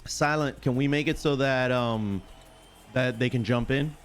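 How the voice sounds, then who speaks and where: A man's voice: medium pitch, unhurried, faint music behind. A man hosting a live sports stream, chatting casually in chummy slang, greeting viewers by name and repeating himself.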